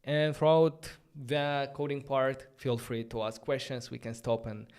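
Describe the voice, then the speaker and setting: Smooth-voiced man with a Romanian accent. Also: medium pitch, flat delivery, measured pace, darkish tone. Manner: thinks aloud, loose and chatty, many "like" fillers. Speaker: host of a coding livestream. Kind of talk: casual live-coding stream. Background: faint background music.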